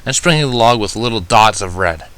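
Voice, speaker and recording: A man reading fan fiction aloud as an expressive first-person narrator. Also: fairly low pitch, fairly quick, clean tone.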